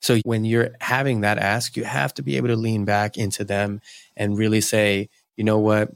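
A man hosts an interview, working through long, thoughtful questions and recaps. His voice is low-pitched. The sound is dry and close, with no room around it. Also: little pitch movement, moderate pace, vocal fry, no room sound. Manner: reflective, long-winded questions. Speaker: podcast host. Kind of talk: interview hosting.

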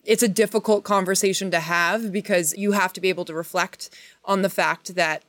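A woman's voice: fairly low pitch, fairly even intonation, brisk, fairly bright tone, no echo. A woman guest on a podcast, talking conversationally in a thoughtful, earnest way as she searches for phrasing. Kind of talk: conversational discussion.

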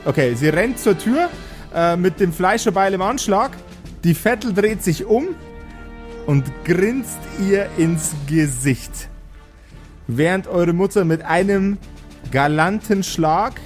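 A man's voice: high-pitched, lively intonation, unhurried, long pauses, faint music behind. A man acting as game master, narrating an improvised tabletop role-playing game with dramatic storytelling between casual rules talk.

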